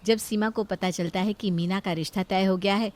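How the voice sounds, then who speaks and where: Woman narrator, mid pitch, reading out a scripted story. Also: steady tone, moderate pace, darkish tone.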